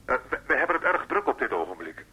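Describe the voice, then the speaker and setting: A man's voice: mid-range voice, big pitch swings, quick pace, a crowd in the background, rough recording. An actor playing a polite, hesitant, businesslike office man heard through a telephone filter in a radio play. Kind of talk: Dutch radio play acting.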